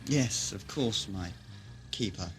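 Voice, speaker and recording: An actor playing a cautious, reasonable underling to a tyrant in an audio drama, speaking respectfully and with care. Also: male voice, fairly low pitch, expressive, fairly bright tone, slight background noise. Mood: deferential. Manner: respectful, careful, measured.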